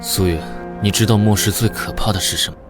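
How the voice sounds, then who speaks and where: A man voicing a hardened, defiant, world-weary young ex-soldier in an animated comic drama, speaking in terse, unhurried, commanding lines. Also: lowish voice, close to an everyday voice. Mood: calm, resolute. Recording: music behind the voice. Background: dramatic music.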